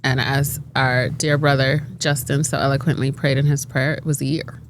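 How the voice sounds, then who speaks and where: A woman's voice: extremely low pitch, level tone, normal speed, a little hiss or hum. A middle-aged woman talking animatedly in a casual group discussion, emphatic and laughing between points. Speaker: panelist.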